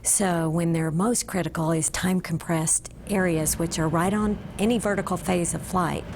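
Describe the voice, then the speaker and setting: A woman's voice: lowish voice, steady tone, normal speed, a little mellow, slight background noise. A woman, an airline pilot, explaining things in an interview. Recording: music behind the voice. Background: music.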